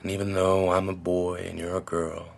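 An actor playing a dreamy young man recalling a strange dream, in a slow gravelly voice, as a film clip. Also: low-pitched, flat delivery. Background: music.

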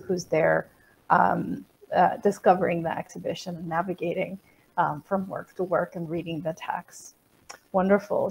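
A middle-aged woman moderates a museum Q&A from home, speaking in a measured way and often restarting her sentences. Her voice comes over a video call with dull, lo-fi sound. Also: lowish voice, gravelly, slight background noise. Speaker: museum host.

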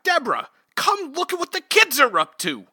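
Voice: high-pitched, huge pitch swings, moderate pace, bright, forceful tone, dry recording, clean studio sound, full character voice. An actor playing a stern, scandalized sitcom dad who scolds melodramatically in a comic radio play.

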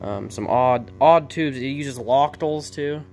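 A man giving a casual, off-the-cuff narration as a hobbyist repairer, speaking informally and haltingly into a handheld camera in an indoor workshop. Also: mid-range voice, darkish tone, slight background noise. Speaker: vintage TV repair hobbyist narrating his video.

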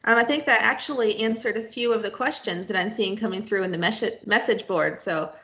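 Bright young woman moderating a video call. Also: medium pitch, a little fast. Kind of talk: reading audience questions. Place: home room. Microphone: video call webcam.

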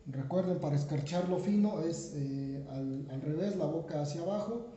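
A man explains a drink recipe step by step to viewers, unhurried, with many fillers and restarts. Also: fairly high pitch, dark tone, a little hiss or hum. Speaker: home bartender, channel host. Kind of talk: step-by-step cocktail tutorial. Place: home kitchen counter, indoors. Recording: roomy, dull lo-fi camera audio.

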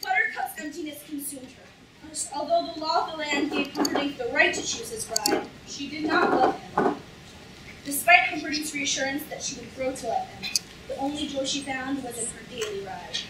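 A woman's voice: high-pitched, normal speed, natural voice. A woman playing a patient storyteller reading a book aloud in a staged reading. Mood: narrating. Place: lecture hall stage, indoors, recorded from audience. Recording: echoey hall from far off, noisy audience room.

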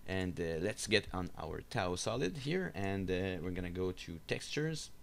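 A man giving an unscripted software screencast tutorial, explaining step by step in a halting, hesitant way. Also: low-pitched, expressive, gravelly, slight background noise. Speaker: tutorial instructor. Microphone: voice-over for a screen recording.

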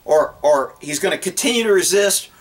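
A middle-aged man talks in a raised, high pitch. He explains in a folksy, animated and blunt way, unscripted, like a trainer addressing a camera outdoors. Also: moderate pace. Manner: animated, blunt, folksy, acts out imagined dialogue. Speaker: horse trainer and vlogger. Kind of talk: casual off-the-cuff instructional talk to camera. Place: outdoors on open rural land.

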